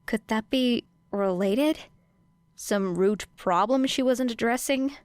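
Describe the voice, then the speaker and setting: A woman's voice: fairly high pitch, takes it slowly, a little mellow, no room sound. A woman reading a scripted fiction audiobook as narrator, close on the microphone. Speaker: audiobook reader.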